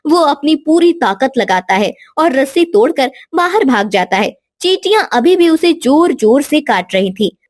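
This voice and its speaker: A woman's voice: sits high, big pitch swings, a little slow, few pauses, dry recording, clean studio sound. A woman giving scripted narration for a children's moral story.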